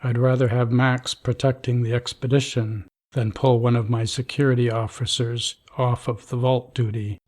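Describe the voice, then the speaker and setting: A man voicing a middle-aged vault overseer in an audio drama, dry and dismissive, clinging to the rules. His voice sits at an ordinary pitch, and he hands down orders in a flat, bureaucratic manner. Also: moderate pace, hardly any pauses, lightly acted. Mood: stern. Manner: dry, rule-bound, dismissive.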